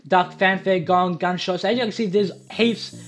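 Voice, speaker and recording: A young man giving a casual, informal software tutorial, repeating himself as he explains. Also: high-pitched, fairly even intonation, moderate pace. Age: young adult. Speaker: tutorial video host. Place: small home room. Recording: faint music behind voice.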